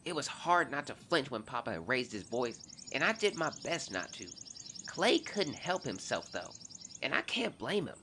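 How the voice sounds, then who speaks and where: Actor doing a young boy narrating his journal, earnest, confiding, bright voice, animated pitch, audio drama. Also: moderate pace, slight background noise.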